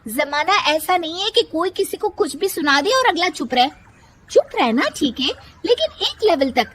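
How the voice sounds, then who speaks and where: Woman voicing a feisty, high-pitched outspoken young woman. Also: expressive, moderate pace, fairly bright tone. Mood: urging, emphatic. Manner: scolding, emphatic, indignant. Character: feisty, outspoken young woman, loyal friend who snaps back.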